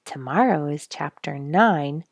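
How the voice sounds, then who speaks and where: A woman with a low voice and a dry, close sound reads a children's book aloud. She tells it expressively, leaning on key words with dramatic emphasis. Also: lively intonation, unhurried, creaky, no echo. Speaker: storyteller reading a children's book.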